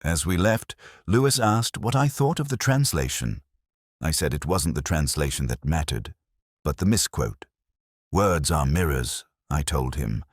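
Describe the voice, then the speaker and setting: A man with an extremely deep voice narrates a detective mystery in the first person, slow-minded and deliberate in his phrasing. His pitch still moves expressively from line to line, and the sound is dry, with no room around it. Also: big pitch swings, breathy edge, no room sound. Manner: measured, deliberate, brooding.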